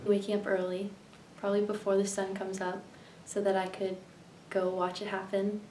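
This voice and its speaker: A young woman heard from a bit away in an echoey room. She gives casual, tentative interview answers in an even, medium-pitched voice. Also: normal speed, a little hiss or hum. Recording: echoey room, distant microphone.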